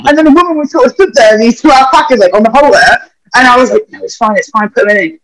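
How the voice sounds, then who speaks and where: A young man with a notably high voice tells loose, rambling stories, dropping swear words and filler words as he goes. He is a vet chatting casually on a podcast. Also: very fast, background noise.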